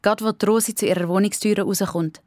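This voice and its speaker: A woman's voice: mid-range voice, fairly even intonation, fast talker, a little mellow, no echo, studio quality. A woman with a Swiss accent narrating a scripted children's story as part of a radio play.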